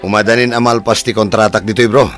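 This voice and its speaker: A man's voice: on the low side, steady tone, quick pace, a bit bright, soft background music, lightly acted. A man with an Ilocano accent playing a practical, friendly contractor in a radio drama. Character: practical working contractor, friendly village buddy.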